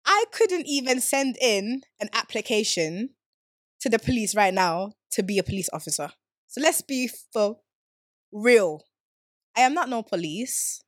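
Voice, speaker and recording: A woman in her twenties who grew up in Sweden, hosting her own podcast in a playful, slangy, animated way and laughing easily. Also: a little high, measured pace, dry recording, clean studio sound. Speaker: podcast host. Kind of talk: casual solo podcast chat.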